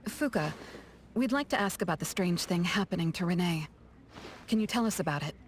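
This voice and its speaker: Woman as low-voiced, reserved detective mentor. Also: expressive, brisk, a little hiss or hum, lightly acted. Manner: understated, matter-of-fact. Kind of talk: game dialogue acting.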